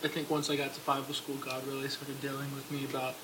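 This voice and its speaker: A man's voice: medium pitch, monotone, fast talker, noisy background. A man from Texas, a Bible school student, answering interview questions plainly.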